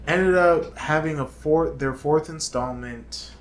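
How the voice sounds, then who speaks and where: A woman's voice: low-pitched, slow. A woman co-hosting a podcast, chatting casually and sometimes searching for words. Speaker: podcast co-host. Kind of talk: casual chat.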